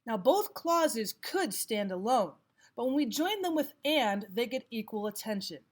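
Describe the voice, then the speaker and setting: A woman teacher giving scripted instructional narration, explaining and reading examples out deliberately. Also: a little high, big pitch swings. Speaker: language arts teacher.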